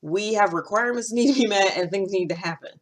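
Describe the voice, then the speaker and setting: A woman in her thirties talking casually to camera like a podcaster, in an animated, conversational way. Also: mid-range voice, quick pace. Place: small indoor room with a cloth backdrop.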